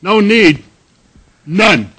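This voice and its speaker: Man acting brash salesman type, radio drama, high-pitched, piercing, raspy, emphatic. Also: very animated, drawn-out words, bright, forceful tone, full character voice. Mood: sheepish. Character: brash, emphatic travelling businessman from Dallas.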